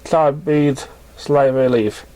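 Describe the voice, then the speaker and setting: A man narrating scripted fiction as an amateur reader, halting and sometimes stumbling over his words. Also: mid-range voice, steady tone, dark tone, smooth, rough recording.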